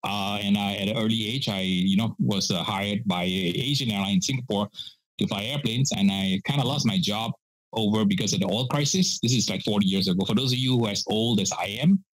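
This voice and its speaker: A man's voice: lowish voice, a little fast, no room sound, low-quality recording. A man in his sixties from Malaysia, a pitmaster guest telling stories in a casual podcast interview with lots of fillers. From Malaysia (Penang). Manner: chatty storyteller, many "uh" fillers, laughs often.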